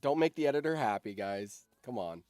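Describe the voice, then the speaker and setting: A man's voice: mid-range voice, lively intonation, a little fast, darkish tone, no echo. A young man hosting a casual livestream, talking in a rambling way that often trails off, close to the mic. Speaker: streamer and host.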